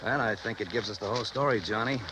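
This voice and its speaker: An actor playing a helpful, efficient police sergeant, plain-spoken in 1950s radio drama style. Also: male voice, fairly low pitch, quick pace, noisy background, lightly acted. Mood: businesslike.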